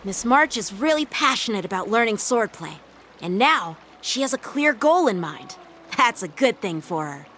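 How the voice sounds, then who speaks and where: An actress playing a polite, composed young sword master with a gently teasing streak in an anime-style video game, courteous and measured in manner. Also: female voice, fairly high pitch, big pitch swings, slight background noise. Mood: encouraging.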